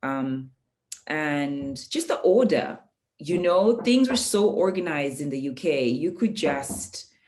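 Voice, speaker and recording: Low-voiced woman, reflective. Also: expressive, darkish tone. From Kenya. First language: Swahili. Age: adult, parent of a young child. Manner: reflective, pauses often with ums. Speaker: guest. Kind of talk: casual conversation.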